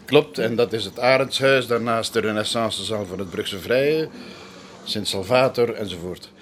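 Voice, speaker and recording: An actor playing a defensive, prickly security chief in a crime drama. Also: male voice, fairly low pitch, normal speed, fairly bright tone, a little hiss or hum, natural voice. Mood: dryly courteous. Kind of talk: Flemish broadcast crime drama acting.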